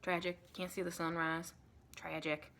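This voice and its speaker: Friendly female vampire mentor, acted by a woman, ASMR roleplay. Bright-toned, soft-spoken, folksy, colloquial and reassuring. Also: lowish voice, flat delivery, unhurried. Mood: chatty, matter-of-fact. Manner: chatty, reassuring, colloquial, rambling. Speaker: ASMR performer.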